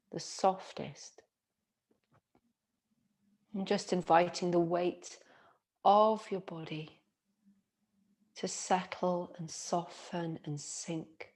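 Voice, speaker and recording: A woman yoga teacher guiding a relaxation meditation in a soothing, gentle manner with long pauses. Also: on the low side.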